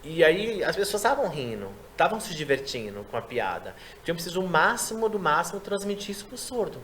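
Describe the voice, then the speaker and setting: A man who works as a sign language interpreter, chatting in an interview and explaining his work in a lively way. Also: on the high side, slight background noise. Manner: quick, explanatory, animated delivery. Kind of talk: casual interview chat.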